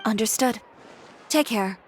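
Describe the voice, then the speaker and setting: A woman's voice: on the high side, measured pace, faint music behind, natural voice. An actress playing a proud, determined young woman fashion designer in a video game, composed, blunt and dry. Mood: resolute.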